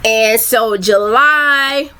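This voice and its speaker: Bright-toned chatty woman author. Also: fairly high pitch, extremely slow, clean tone. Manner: loose and chatty, many ums and restarts. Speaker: self-esteem coach and author. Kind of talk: casual live video chat.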